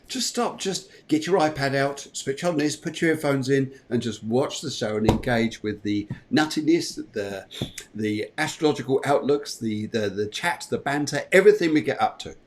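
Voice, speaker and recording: A man's voice: mid-range voice, normal speed, slight background noise. A man hosting a livestream morning show in a chatty, jovial way, often repeating his phrases. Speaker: show host.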